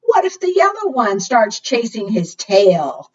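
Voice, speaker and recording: Lady doing kids storytime, sing-song and unhurried, bit far from the mic, muffled sound. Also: a little high, sing-song, slightly rough. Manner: sing-song, asks young listeners questions. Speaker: children's librarian and storytime host. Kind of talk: read-aloud storytime for preschoolers. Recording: dull, lo-fi sound, distant mic.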